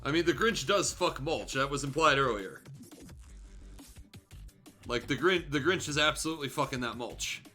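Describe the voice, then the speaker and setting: A man's voice: medium pitch, expressive, moderate pace, long pauses, sharp tone, faint music behind. A man reading fan fiction aloud for comedy as a show host, breaking off into casual, irreverent, sweary asides.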